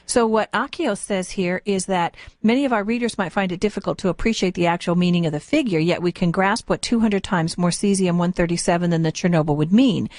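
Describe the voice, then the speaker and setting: A woman's voice: lowish voice, fairly quick, hardly any pauses, darkish tone, no room sound, studio quality. An American woman hosting a live call-in radio interview. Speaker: radio talk show host. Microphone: close broadcast studio microphone.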